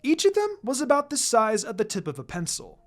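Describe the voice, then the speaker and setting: A narrator reading a fan fiction story aloud in an expressive, scripted storytelling style. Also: medium pitch, a little fast. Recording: music behind the voice. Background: background music.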